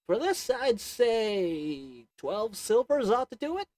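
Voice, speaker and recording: Voice actor as a cunning goblin shopkeeper, haggling patter, lively up-and-down delivery. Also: medium pitch, takes it slowly, no room sound. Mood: sly. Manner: wheedling, sarcastic salesman patter; chuckles between lines. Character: sly haggling goblin potion merchant. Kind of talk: audio roleplay acting to the listener.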